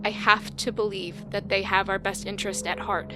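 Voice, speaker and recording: A woman's voice: medium pitch, little pitch movement, close to an everyday voice. An actress playing an emotional, determined woman who survives an apocalypse, in an audio drama. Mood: resolute. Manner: emotional, halting in grief, firm when resolved. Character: Leah, grief-stricken but determined woman survivor of an apocalypse. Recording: music behind the voice.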